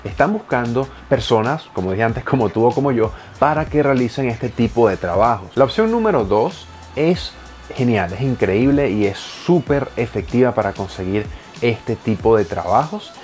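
A man in his thirties talking directly to the viewer from a home office, animated and gesturing, explaining step by step. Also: medium pitch, normal speed, soft background music. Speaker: online business youtuber. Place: home office with bookshelves, indoors.

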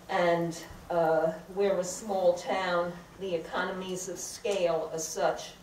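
An older woman gives public comment at a council meeting in a low, unhurried voice, stopping often on fillers as she works through her points. She is heard from the back of a big echoey chamber, with faint room murmur behind her. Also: a little mellow, slight background noise. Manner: deliberate, halting with frequent "uh" fillers. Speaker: resident speaking at public comment. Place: large crowded council chamber. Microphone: podium microphone, heard from a far camera. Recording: echoey chamber recorded from far off.

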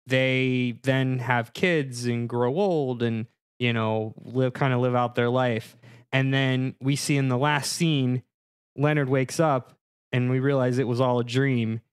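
A man's voice: mid-range voice, fairly even intonation, measured pace, clear, resonant tone. A man hosting a podcast discussion in a mock-serious, conversational way. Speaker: podcast host.

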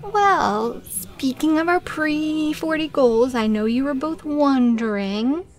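A woman's voice: high-pitched, animated, takes it slowly, faint music behind. An actress playing an earnest woman nearing forty in audio drama dialogue. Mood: excited, coy.

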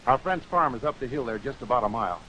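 An actor playing a wartime agent in a 1940s training film. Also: male voice, medium pitch, lively intonation, quick pace, pushed and bright. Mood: businesslike. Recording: hissy, noisy old film soundtrack.

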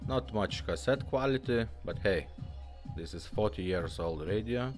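Low-voiced man demoing a product casually. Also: animated, measured pace, soft background music. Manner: informal, non-native phrasing. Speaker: presenter demonstrating restored car radios.

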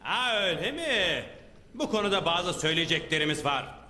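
Dubbed cartoon superhero, big, dim and boastful, high animated delivery. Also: male voice, moderate pace, heavily acted. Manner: grandiose, speech-making.

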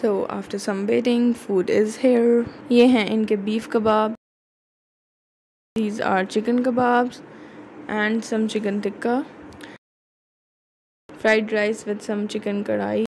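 A woman's voice: on the high side, flat delivery, unhurried, pauses often, background noise. A young woman narrating a cheerful vlog, mixing English with Hindi-Urdu phrases. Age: young adult. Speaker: vlogger. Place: outdoors in village fields and in a restaurant. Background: music under the voice.

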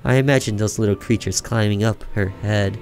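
A man with a fairly low voice narrates a horror tale. His delivery is theatrical and suspenseful, slowing for effect. Soft music plays behind him. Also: steady tone. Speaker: streamer reading a visual novel aloud. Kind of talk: dramatic narration of a horror story.

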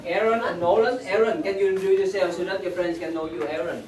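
Enseignant lointain, salle résonnante, ados bavards. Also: male voice, high-pitched, fairly even intonation, fast talker, crowd noise. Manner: simple broken English, prodding students to speak up. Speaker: teacher leading a youth class. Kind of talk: classroom roll call. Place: small indoor classroom. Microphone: far camera at the back of the room. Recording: echoey room from far off. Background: teenagers chattering.

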